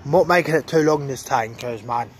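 A man's voice: medium pitch, fairly quick, a little hiss or hum. A young man making a rambling casual vlog, filmed on a phone at arm's length on an outdoor railway platform. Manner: rambling, filler-heavy, mumbling. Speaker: vlogger. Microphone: phone held at arm's length.

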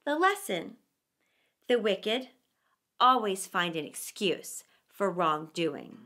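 A middle-aged woman reading aloud to children as a storyteller, with theatrical, deliberate phrasing. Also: mid-range voice, sing-song, pushed and bright, soft background music. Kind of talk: expressive read-aloud for children.

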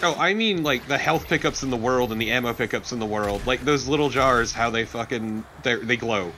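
A man's voice: mid-range voice, a little fast, pushed and bright, slight background noise. A man co-commentating on a video game in casual, blunt, opinionated chat with some swearing. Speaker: gaming let's play co-commentator.

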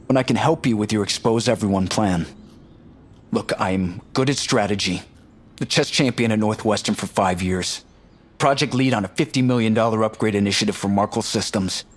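An actor playing an eager, cocky, talkative tech strategist in a naturalistic audio drama. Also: male voice, on the low side, moderate pace, husky. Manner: talkative, boastful, informal. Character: eager, cocky, nerdy male tech strategist, chatty roommate.

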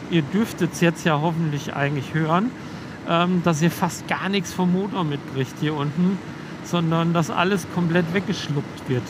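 A young man riding a motorcycle on country roads, casually explaining gear through his helmet intercom mic, relaxed, with frequent filler words. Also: high voice. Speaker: motovlogger. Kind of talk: casual motovlog talk while riding. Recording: helmet mic with road noise. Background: road and vehicle noise.

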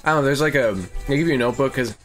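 A man's voice: medium pitch, rapid-fire. Crude, rambling man over game music. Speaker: let's play host, playing the game. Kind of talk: casual gaming commentary. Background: video game music.

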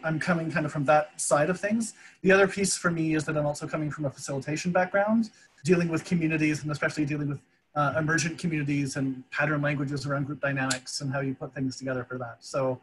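A man from Canada introducing his work on a video call, halting and repeating words as he thinks. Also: fairly high pitch, fairly bright tone, low-quality recording. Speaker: VR developer, founder.

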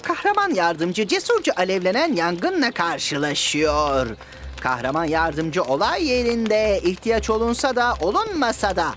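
A woman voicing a boastful, clumsy, excitable crow in a children's cartoon, talking pompously like a theatrical superhero. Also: mid-range voice, measured pace, soft background music. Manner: pompous self-narration in third person, theatrical.

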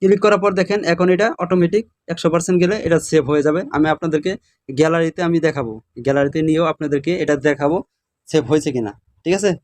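A man's voice: a little high, fairly even intonation, racing, darkish tone. A man narrating a step-by-step app tutorial, repeating himself and often checking that the viewer follows. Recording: voice over plucked guitar music. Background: plucked guitar music.

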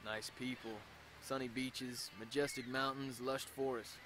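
A man's voice: medium pitch, little pitch movement, unhurried, fairly bright tone, a little hiss or hum, natural voice. Man voicing a jaded army private narrator. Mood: sardonic. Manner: dry, sardonic. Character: weary cynical male American army private. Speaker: soldier narrator in game intro. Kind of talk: scripted game narration.

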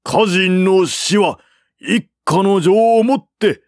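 Man performing a high-pitched, declamatory court minister. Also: takes it slowly, dry recording, clean studio sound. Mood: impassioned, solemn. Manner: declamatory, grand, emphatic. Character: earnest male court minister, lofty orator.

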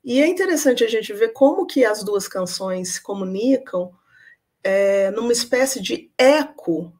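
A woman's voice: on the high side, lively intonation, unhurried, a little mellow. A young adult woman giving an academic lecture over a video call from a room at home, thoughtful and full of hesitation fillers. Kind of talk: academic talk on song and literature. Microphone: webcam on video call.

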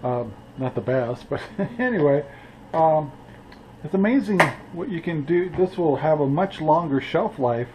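A man's voice: a little high, lively intonation, unhurried, muffled recording, noisy background. An older man hosting a casual cooking vlog, talking in a folksy, rambling way with plenty of "uh"s and little chuckles. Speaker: cooking channel host. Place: outdoor yard beside a grill, and indoors in a cluttered room.

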